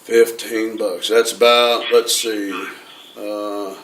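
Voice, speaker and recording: A man's voice: fairly low pitch, flat delivery, drawn-out words, fairly bright tone. A man putting on a folksy, rambling redneck with a hesitant drawl for a prank phone call. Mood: folksy, chatty. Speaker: prank caller. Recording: echoey room from far off, noisy.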